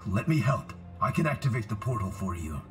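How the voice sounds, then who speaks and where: An actor playing an earnest, forceful male superhero in a video game cutscene. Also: lowish voice, big pitch swings, brisk, music in the background. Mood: earnest, determined.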